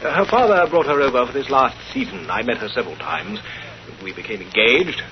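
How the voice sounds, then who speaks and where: An actor playing a haughty, wounded aristocrat with an English accent, speaking in a stiff, halting, pompous way in a 1930s radio drama. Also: male voice, mid-range voice, sing-song, lightly acted. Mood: defensive. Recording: noisy, muffled 1930s radio recording.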